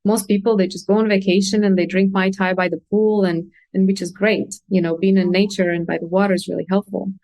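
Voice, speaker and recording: A woman's voice: medium pitch, flat delivery, a little fast, few pauses, a little mellow, no echo, poor audio. A woman, explaining things earnestly and candidly in a conversational interview as a guest coach. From Russia. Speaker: podcast guest, consultant and coach.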